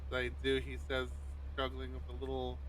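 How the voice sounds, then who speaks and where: A man livestreaming a visual novel, mixing expressive, sweary casual chat with reading the narration aloud. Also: medium pitch, fairly quick, crowd noise, poor audio.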